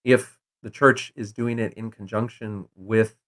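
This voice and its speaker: A man's voice: on the low side, fairly even intonation, unhurried, a bit bright. A middle-aged man hosting a casual call-in show, rambling with many fillers and restarts.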